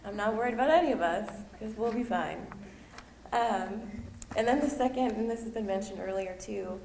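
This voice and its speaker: A woman with a soft voice giving a nervous, hesitant speech full of ums. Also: mid-range voice, gravelly, reverberant, a little hiss or hum. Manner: hesitant, halting, with many ums. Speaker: nursing graduate giving a speech.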